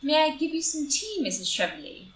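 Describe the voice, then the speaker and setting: A woman's voice: sits high, big pitch swings, a little fast. A woman playing a polite, earnest younger society wife and hostess in a live reading of a period comedy. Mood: politely hospitable. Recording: roomy, distant mic, faint noise.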